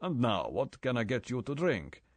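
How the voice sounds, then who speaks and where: A man's voice: medium pitch, sing-song, normal speed, no echo. A man voicing a suave yacht owner who speaks in a polite, formal and courteous way. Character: suave male yacht owner, smooth host.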